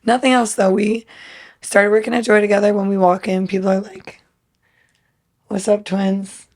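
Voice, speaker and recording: A young woman with a raspy voice, chatting casually as a podcast co-host, laughing and saying "like" a lot. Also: medium pitch, level tone, normal speed, darkish tone.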